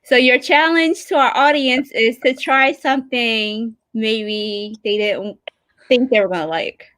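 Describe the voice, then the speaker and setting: Female American live-chat host, fairly high voice, casual delivery, very dry sound. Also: measured pace, fairly bright tone, no room sound. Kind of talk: casual live interview hosting.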